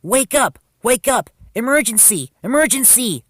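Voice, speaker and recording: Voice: medium pitch, very animated, fairly quick, dry recording, clean studio sound, voice pushed up, lightly acted. A storyteller voicing an eager young male tank engine, earnest and urgent, in a children's story.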